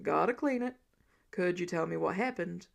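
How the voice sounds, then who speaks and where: A woman's voice: fairly low pitch, moderate pace, gravelly, voice pushed down. A woman voicing a protective, dry-humoured male vigilante with a terse, understated manner in an audiobook. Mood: dry, brisk.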